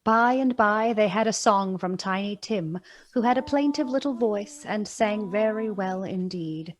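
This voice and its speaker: A woman narrating a Victorian Christmas tale for a radio play, measured, solemn and expressive. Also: medium pitch, close to an everyday voice. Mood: measured storytelling.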